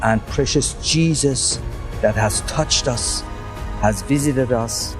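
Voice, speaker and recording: A man giving a fervent religious testimony in halting phrases. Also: mid-range voice, music in the background.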